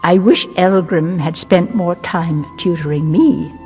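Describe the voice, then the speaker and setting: Lady doing a put-upon potion shop helper in a fantasy game, lowish voice, echoey with long reverb. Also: a little slow, dark tone, faint music behind, lightly acted. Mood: wistful, resentful. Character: put-upon, plain-spoken female apothecary assistant, faintly resentful. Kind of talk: video game character dialogue.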